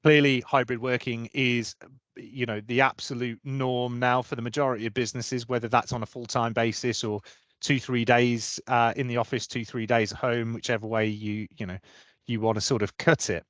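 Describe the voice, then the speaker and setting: A man's voice: mid-range voice, little pitch movement, moderate pace, a bit bright, no echo. A man hosting an interview, asking questions in a friendly, conversational way with fillers and repeated words. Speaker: interviewer, recruitment practice lead.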